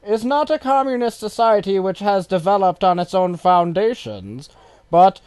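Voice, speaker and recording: A man's voice: high voice, animated, normal speed, darkish tone, clean tone, dry recording, voice pushed up. A man voicing a quoted political thinker in an audiobook, emphatic and declamatory. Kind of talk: audiobook quotation reading.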